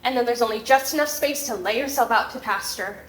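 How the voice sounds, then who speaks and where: A woman's voice: fairly high pitch, fairly quick, natural voice. An actress playing a sardonic, self-mocking woman fencing coach nearing thirty, on a theater stage. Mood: bitter. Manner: wry, dramatic. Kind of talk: stage play acting. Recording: roomy stage sound.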